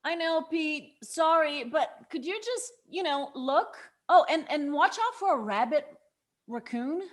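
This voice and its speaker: A woman plays a level-headed young peacemaker at a table read. Her voice sits fairly high, and she speaks in a mild, slightly hesitant, conversational way. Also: expressive, a little slow. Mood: concerned. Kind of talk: table read acting of a screenplay.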